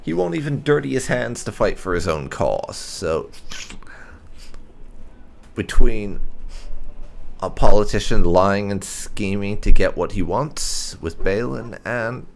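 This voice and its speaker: Guy doing let's play roleplay commentary, lowish gravelly voice, halting and thoughtful, trails off. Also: animated. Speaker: gaming channel host.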